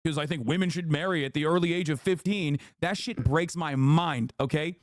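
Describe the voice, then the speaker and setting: A man's voice: fairly high pitch, moderate pace, dry recording, clean studio sound. A man in a played stream clip ranting heatedly, stressing his points with a repeated "okay?". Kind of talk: ranting monologue.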